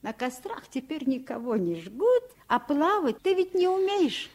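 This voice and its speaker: An actress playing a kindly, theatrical French governess, strict yet playful, in an expressive, gently lecturing radio play style. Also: female voice, high-pitched, a little slow. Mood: tender, teasing. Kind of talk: Soviet radio play acting.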